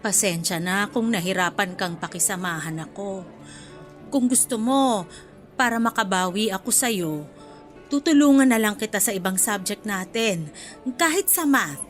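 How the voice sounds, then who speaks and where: Mabait at mala-inang ginang, ginagampanan ng aktres, medyo mababa ang boses. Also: female voice, mid-range voice, lively intonation, normal speed, close to an everyday voice. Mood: apologetic, kind. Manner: gentle, motherly, patient. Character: kindly, apologetic older woman, a returning college freshman. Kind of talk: radio drama acting in Filipino. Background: music bed.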